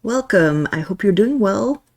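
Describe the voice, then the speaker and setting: A woman's voice: mid-range voice, animated, unhurried. A woman from Belgium, speaking English as a second language, chatting casually at home as she shows her stationery and sometimes searches for words. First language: not English. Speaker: stationery vlogger. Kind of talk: casual show-and-tell. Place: home, with cat.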